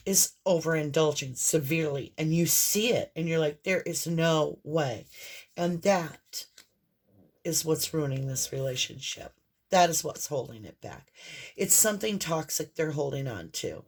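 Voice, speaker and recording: A woman with a hoarse voice and a mixed Southern and Northern American accent, giving a casual, rambling tarot reading straight to the viewer. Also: deep voice. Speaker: tarot reader.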